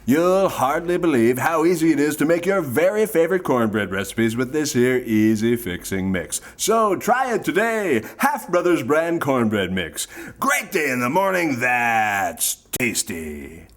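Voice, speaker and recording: Old-time radio sponsor announcer played by a man: hammy, folksy, eager, with wildly animated pitch. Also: unhurried. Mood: chipper selling. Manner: folksy, theatrical salesmanship. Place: live theatre stage.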